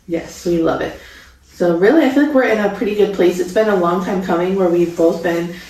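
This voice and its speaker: A woman's voice: fairly low pitch, brisk, mellow. Echoey, enthusiastic young woman vlogger. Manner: chatty, enthusiastic, gushing. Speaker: vlogger co-host. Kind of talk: casual vlog chat, Q&A. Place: home studio room, indoors. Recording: echoey room, distant mic.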